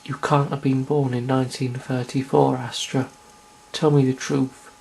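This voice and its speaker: A performer voicing a soft-spoken, kindly young man who asks gentle questions. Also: mid-range voice, level tone, moderate pace, creaky, slight background noise, natural voice, rough recording.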